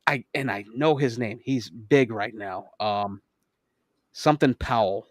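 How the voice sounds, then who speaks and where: A man co-hosting a casual podcast, talking excitedly, laughing often and swearing freely. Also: mid-range voice, measured pace, no echo, clean studio sound. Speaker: podcast co-host. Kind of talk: casual chat.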